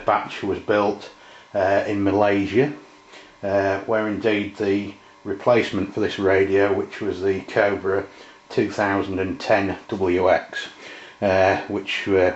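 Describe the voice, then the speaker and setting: A middle-aged man giving an informal, halting, unscripted product review in a room at home. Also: sits low. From England. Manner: hesitant, halting, unscripted delivery. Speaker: presenter, radio hobbyist. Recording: muffled, roomy camera sound.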